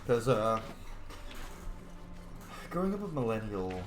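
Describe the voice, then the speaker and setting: A man's voice: on the low side, big pitch swings, slow, roomy sound. A man in his thirties giving casual, joking commentary while roleplaying a game, swearing often. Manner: chatty, profane, joking. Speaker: roleplay gamer.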